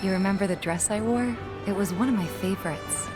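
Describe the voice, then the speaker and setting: A woman playing a gentle, hesitant young friend in a video game. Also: mid-range voice, a little fast, over music, lightly acted. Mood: shy, nostalgic.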